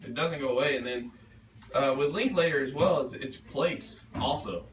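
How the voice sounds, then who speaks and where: A man with a medium-pitched voice talks informally as an author taking questions. He rambles, thinking aloud in a self-deprecating way. He is picked up from a distance in an echoey room with a noisy background. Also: moderate pace. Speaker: author at a book reading. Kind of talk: informal question-and-answer talk at a reading. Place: bookstore event room. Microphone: distant room microphone.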